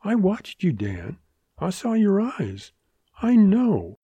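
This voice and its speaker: Man voicing a spirited young woman, lifted pitch. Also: wildly swooping pitch, slow, mellow, slightly rough, no room sound, full character voice. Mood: earnest, urging. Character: young woman June, spirited, teasing, earnest. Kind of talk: audiobook western character voice.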